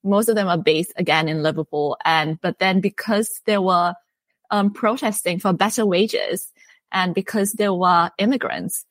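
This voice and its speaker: A woman's voice: on the low side, moderate pace, no echo. A young woman from Thailand, an author being interviewed, chatty and friendly, full of "like" and "um". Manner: friendly, chatty, many "like" and "um" fillers. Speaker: author and guest. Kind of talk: casual interview chat.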